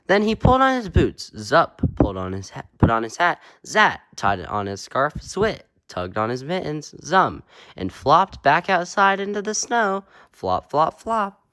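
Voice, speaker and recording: Male storyteller, children's picture-book narration, playful, lively pitch swings, bright, dry sound. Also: measured pace, no echo. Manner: playful sound-effect words, sing-song emphasis. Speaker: read-aloud storyteller.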